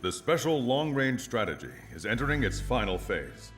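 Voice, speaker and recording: An actor playing a stern military officer, delivering a formal, authoritative mission briefing for a video game. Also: male voice, medium pitch, very animated, normal speed, soft background music, lightly acted. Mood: formal, resolute.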